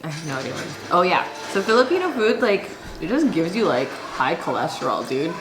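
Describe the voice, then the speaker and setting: A young woman chatting with a friend over food, teasing him with quick banter. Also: deep voice, very animated. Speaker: guest friend. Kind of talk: casual chat over food. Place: her apartment kitchen, standing. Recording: echoey kitchen with music behind.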